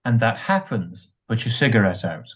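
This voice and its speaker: A man playing a calm, blunt, reassuring ex-soldier friend in a radio drama, with a husky voice. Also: lowish voice, moderate pace, lo-fi sound. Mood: wry, patient. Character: steady, reassuring ex-soldier friend, practical and wry. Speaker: amateur radio drama actor.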